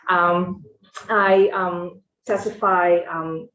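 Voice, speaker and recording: A woman's voice: lowish voice, steady tone, extremely slow, fairly bright tone, slight background noise. Brazilian-accented woman testifying, echoey room. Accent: Brazilian Portuguese. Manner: informal, self-correcting, earnest. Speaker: school food service director testifying. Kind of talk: committee testimony. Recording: echoey, muffled hearing room audio.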